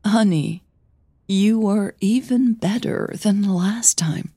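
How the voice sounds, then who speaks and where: Woman as a doting, excitable mother, raised pitch. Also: takes it slowly, breathy edge, no echo, studio quality. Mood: affectionate. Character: warm, excitable, doting middle-aged mother.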